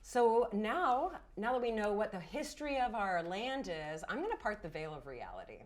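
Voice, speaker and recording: A woman's voice: mid-range voice, sing-song, normal speed. A woman game master narrating a fantasy tabletop roleplaying game in a playful, theatrical storytelling manner. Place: indoor studio set.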